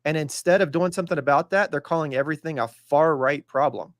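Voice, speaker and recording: A man's voice: fairly high pitch, fast talker, no room sound, studio quality. A man from Texas co-hosting a podcast, giving blunt opinions in a casual tone. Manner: blunt, argumentative. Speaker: podcast co-host.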